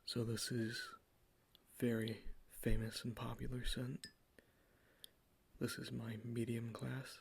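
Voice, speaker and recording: A man in an ASMR roleplay, giving a soft-spoken, enthusiastic sales pitch as a cologne salesman close to the microphone. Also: monotone, slow pace, vocal fry, pauses often, husky.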